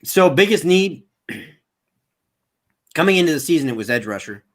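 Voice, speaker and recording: A man hosting a casual sports livestream, talking in an animated, searching way. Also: on the high side, normal speed. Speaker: sports channel host.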